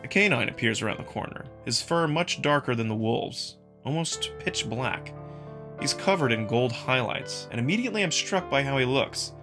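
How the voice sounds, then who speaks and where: A man's voice: mid-range voice, expressive, moderate pace, music in the background. A man reading first-person visual novel narration as a scripted storyteller. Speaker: narrator and voice performer.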